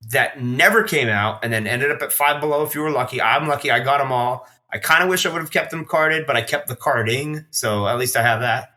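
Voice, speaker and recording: A man's voice: medium pitch, fairly quick, few pauses, bright, forceful tone. A man in a casual podcast chat, talking in a rambling, slangy, enthusiastic way as a collector guest.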